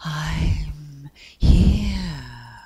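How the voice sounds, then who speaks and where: Woman acting a breathy, huffy female wind spirit. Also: sits low, animated, very slow, warm tone, no echo, exaggerated voice. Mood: weary, irritated. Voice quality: breathy, whispery.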